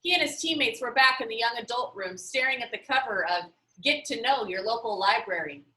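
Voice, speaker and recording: Animated high-voiced woman reading a children's book. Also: a little fast, roomy sound, low-quality recording. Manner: animated, acting out dialogue. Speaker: storyteller reading aloud. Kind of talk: expressive read-aloud of a children's novel.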